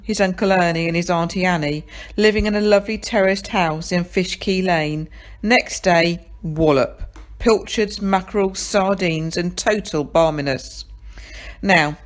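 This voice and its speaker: Woman storyteller, slightly low pitch, children's book narration, dramatic, direct to the listener. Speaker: story reader.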